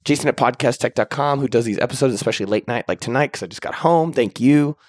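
A man hosting a podcast, upbeat and slangy, with a slightly raspy voice. He chats casually and closes the show with shout-outs. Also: mid-range voice, quick pace, no echo, clean studio sound. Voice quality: a little raspy. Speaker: podcast host. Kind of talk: casual podcast chat and outro.

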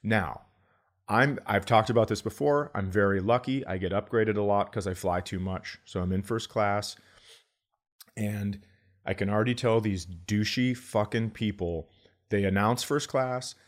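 A man hosting a comedy podcast, telling stories in an animated, grumbling, sweary way and acting out quotes. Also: lowish voice, measured pace. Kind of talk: comic storytelling in casual podcast chat.

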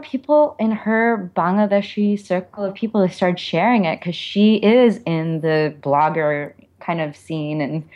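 A woman's voice: fairly low pitch, dull audio. A woman author being interviewed, speaking candidly and informally in a reflective way, with lots of "like" and "you know".

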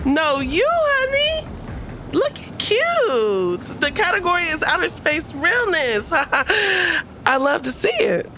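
A woman playing a sassy, chatty young woman in an audio drama, speaking in slangy phrases with drawn-out emphasis. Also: very high voice, sing-song, sharp tone, a little hiss or hum, exaggerated voice. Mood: amused. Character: sassy, chatty, weary young woman in scrubs, often asked for help.

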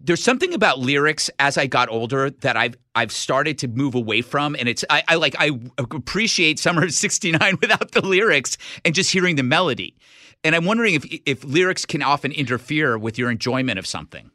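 Bright, sharp-voiced male podcast host. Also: on the high side, lively intonation, fairly quick, dry recording, clean studio sound. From San Francisco area. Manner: self-deprecating, hesitant restarts. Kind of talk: podcast interview.

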